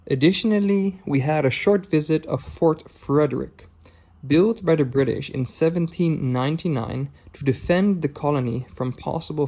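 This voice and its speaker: A man whose first language is Dutch, narrating his travel vlog in a calm, measured, scripted voice-over. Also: mid-range voice. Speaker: travel vlogger.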